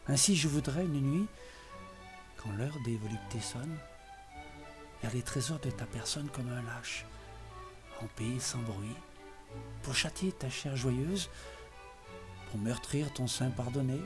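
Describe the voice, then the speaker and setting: A man gives a dramatic, literary poetry reading, declaiming phrase by phrase with expressive emphasis. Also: mid-range voice, slow pace, pauses often. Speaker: poetry reader. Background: music behind the voice.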